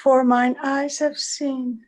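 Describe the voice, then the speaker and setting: A woman playing a weary, serene dying woman in a radio play, tender and halting, with a soft creaky voice. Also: high-pitched, flat delivery. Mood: tender, blissful. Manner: halting, repeating phrases tenderly. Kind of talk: old-time radio play acting.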